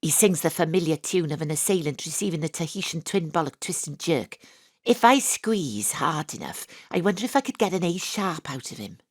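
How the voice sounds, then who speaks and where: Low-voiced, husky, hard-boiled female detective. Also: brisk, dry recording, clean studio sound. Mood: deadpan, wry. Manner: wry, deadpan. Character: hard-boiled wisecracking female private detective, sardonic and impatient. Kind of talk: first-person noir narration in an audio drama.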